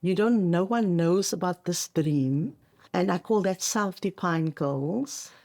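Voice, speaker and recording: A woman's voice: fairly low pitch, takes it slowly, a little mellow. A middle-aged South African woman whose first language is Afrikaans, talking conversationally as a coach being interviewed on a podcast, making emphatic points and checking in as she goes.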